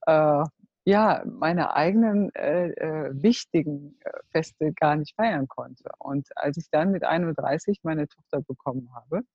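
A woman in her forties from Germany telling her life story as a guest, earnest and halting, often searching for words. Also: sits low, breathy edge, dry recording. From Germany (Cologne). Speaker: entrepreneur, guest. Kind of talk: personal life story in a group talk. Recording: dull, dry close recording.

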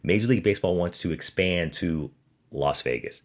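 A man with a deep voice hosts a sports podcast on his own. He speaks emphatically and with sarcasm, pushing his opinions through pointed rhetorical questions. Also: moderate pace. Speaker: podcast host.

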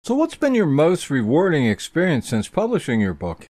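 Male interviewer, highish animated voice, dry close sound. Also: moderate pace, no echo. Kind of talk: asking interview questions.

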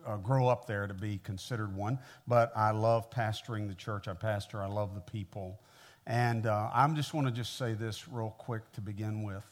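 An American man in his sixties speaks as a visiting pastor addressing a congregation. His voice sits at an average male pitch. He preaches conversationally, with a folksy, personal storytelling manner. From Midwestern United States (Missouri). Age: 60s.

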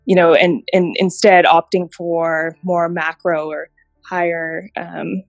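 A woman in her thirties, from Japan, with a lower voice on a dry, close recording. She speaks reflectively as an interview guest, telling her story with thoughtful pauses. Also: flat delivery, gravelly, dry recording, faint music behind. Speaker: guest, healthcare innovation director. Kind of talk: interview conversation.

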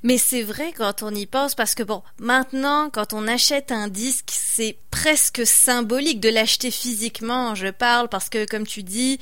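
A woman's voice: fairly high pitch, moderate pace, few pauses, a bit bright, no room sound, clean studio sound. A woman hosting an interview, encouraging her guest and affirming with frequent murmurs. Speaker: interview host.

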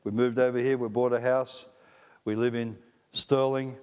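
A man's voice: medium pitch, little pitch movement, normal speed, a little mellow, clean tone. A middle-aged man with an Australian accent preaching a sermon in a conversational, joking way, often asking the congregation questions. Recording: dull lo-fi recording in a reverberant hall.

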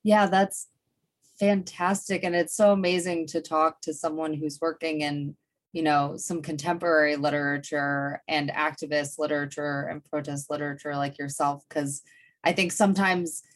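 A woman's voice: low-pitched, steady tone, normal speed. A woman hosting an interview, talking enthusiastically with many "you know" fillers. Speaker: podcast host. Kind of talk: interview chat.

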